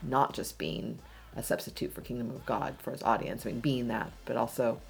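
A woman's voice: very deep voice, a little fast, creaky. A woman co-hosting a casual faith discussion, eagerly jumping in to build on the ideas being talked through. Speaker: podcast co-host.

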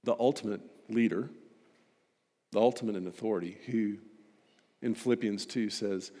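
A man delivering a sermon to a congregation as a preacher, emphatic and rhetorical, building points through repetition and pointed pauses. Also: lowish voice, steady tone, dark tone. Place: large reverberant hall. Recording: long hall reverb.

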